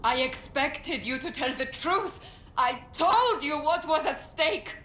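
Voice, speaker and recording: Fierce, accusing warrior, echoey room. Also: female voice, high-pitched, animated, slow, bright, forceful tone, exaggerated voice. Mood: furious. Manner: intense, accusatory. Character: fierce, zealous female warrior, betrayed and accusing. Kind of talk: video game character acting. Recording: roomy echo, faint noise.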